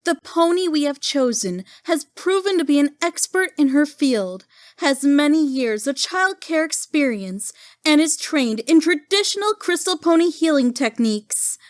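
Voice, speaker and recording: A woman voicing a regal pony princess and mother, gentle and formal, in a cartoon drama. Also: high-pitched, animated, measured pace, dry recording, clean studio sound. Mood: ceremonial. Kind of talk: animated fan drama voice acting.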